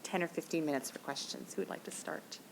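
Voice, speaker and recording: Lady moderator, lowish voice, gracious, bit of hiss. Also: fast. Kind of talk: thanking speaker and opening questions.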